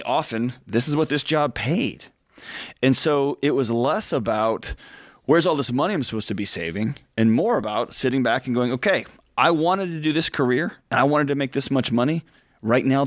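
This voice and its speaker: A man's voice: medium pitch, moderate pace, no room sound, studio quality. A man in his forties co-hosting a radio talk show, talking earnestly and emphatically in casual chat. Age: 40s. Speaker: co-host.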